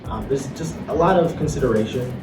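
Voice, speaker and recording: A young man talks in a conversational, halting way on a panel. His pitch is average. The room echoes around him, the mic is distant and music plays clearly behind him. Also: lively intonation. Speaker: convention panelist, art director. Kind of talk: casual panel talk.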